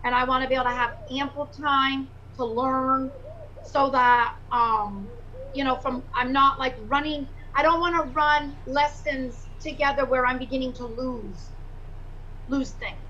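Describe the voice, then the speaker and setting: A woman with a high, bright voice talks earnestly on a video call, weighing her words with fillers as she thinks aloud. The call audio is dull and lo-fi. A faint hiss runs underneath. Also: steady tone, measured pace. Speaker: pastor and prospective student. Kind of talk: casual orientation call.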